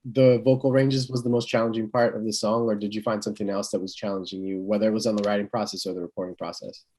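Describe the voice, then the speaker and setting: A man's voice: lowish voice, level tone, fast, dark tone. A man working as an interviewer, asking long, casual questions in a tentative way and rephrasing them as he goes.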